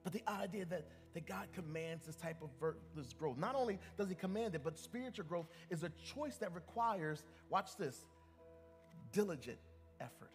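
A male pastor with a fairly high voice preaches with emphasis, often doubling back on his words and calling out to the congregation. His voice carries a long hall reverb, with faint music under it. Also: lively intonation, normal speed. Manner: emphatic, with repeated restarts and calls to the congregation. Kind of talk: sermon. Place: church sanctuary.

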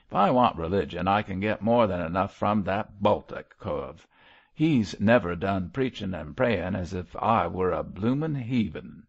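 A gruff condemned criminal from a storybook, acted by a male narrator, with a rough rustic working-class English accent. He growls in a husky, gravelly voice, coarse but full of feeling for his child. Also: fairly low pitch, sing-song, moderate pace, few pauses, lo-fi sound, full character voice. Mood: gruff, disgusted.

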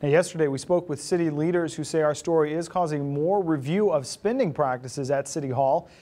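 A man's voice: fairly high pitch, a little fast, mellow, a little hiss or hum. An American man in his thirties reading scripted news as a TV anchor. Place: TV news studio. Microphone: lapel mic.

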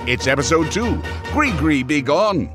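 Lively male serial narrator over music. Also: on the high side, a little slow. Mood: storytelling. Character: male storyteller recapping a radio soap episode. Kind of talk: scripted narration. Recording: music behind the voice.

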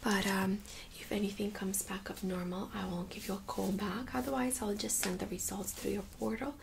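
A woman speaking in a soft, breathy near-whisper, gently giving instructions as a medical examiner in an ASMR role-play. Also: mid-range voice, fairly even intonation, normal speed, noisy background. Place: small room indoors.

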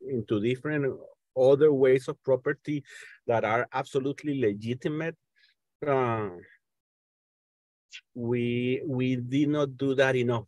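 A middle-aged man from Venezuela with a Venezuelan Spanish accent speaks haltingly on a video call, repeating words as he hosts an informal political discussion. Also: medium pitch, steady tone, darkish tone, dry recording, low-quality recording. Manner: halting, stammering repetitions as he searches for words. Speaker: host of an online panel discussion. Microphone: earphones on a video call.

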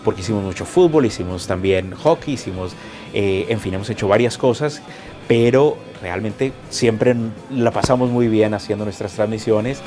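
Man, Colombian accent, thirties, measured and pausing, lowish voice, quiet music behind. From Bogotá, Colombia. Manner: measured, hesitant with many fillers. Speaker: hockey color commentator. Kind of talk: interview answers. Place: locker room, indoors.

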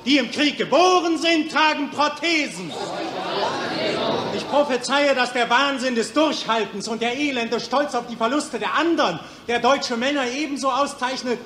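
A man's voice: very high voice, normal speed, crowd noise. A man acting a bitter, defiant mental patient who denounces and accuses in 1940s radio play style. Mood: bitter, prophetic. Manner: biting, accusatory, declamatory. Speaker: actor. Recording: 1940s radio recording, echoey room.

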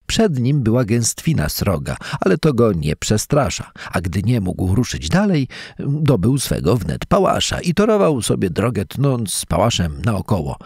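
A man reading a fairy tale in verse aloud, as a measured, dramatic storyteller. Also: fairly low pitch, vocal fry, a little mellow, husky, dry recording, studio quality. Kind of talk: expressive scripted fairy-tale narration in verse.

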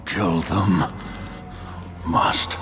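A man playing a crazed, wounded warrior in a video game, raving in halting fragments with a gravelly voice. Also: deep voice, fairly even intonation, fairly bright tone, full character voice. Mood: delirious rage. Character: crazed, wounded warrior obsessed with killing demons. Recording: echoing hall, music behind.

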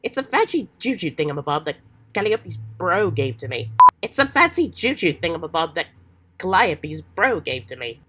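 A woman voicing an earnest, excitable, old-fashioned adventurous teenage boy with hammy, folksy slang, while getting over a cold. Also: on the low side, normal speed. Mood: polite, hesitant. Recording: faint background noise.

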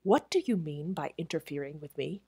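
A woman voicing a fierce, impatient gentleman guest at a hotel. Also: deep voice, expressive, moderate pace, husky, dry recording, full character voice. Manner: fierce, indignant.

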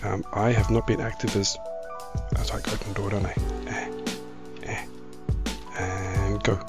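A middle-aged man with a croaky voice, giving casual, easygoing gaming commentary as the host of a video. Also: fairly low pitch, level tone, a little slow, over music. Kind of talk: casual Minecraft let's-play commentary.

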